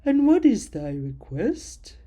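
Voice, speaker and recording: A woman voicing a powerful male king in a scripture reading, declaiming loudly and drawing out her words. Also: mid-range voice, wildly swooping pitch, slow pace, exaggerated voice. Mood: grand. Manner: loud, declaiming, drawn out.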